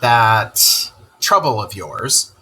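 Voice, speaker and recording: A man voicing a stern, excitable male water rat who scolds emphatically in a children's storybook read-aloud. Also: fairly low pitch, drawn-out words, husky, full character voice, poor audio. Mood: earnest, excited.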